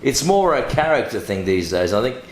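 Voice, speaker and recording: A man's voice: mid-range voice, very animated, fairly quick. A man interviewing in a casual, matey, cheeky way, happy to be crude. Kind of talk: casual, blokey interview chat. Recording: echoey room with faint noise.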